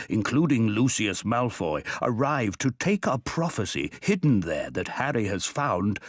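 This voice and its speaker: A man's voice: medium pitch, slightly rough, no room sound, studio quality. A man narrating a scripted story as a game's narrator.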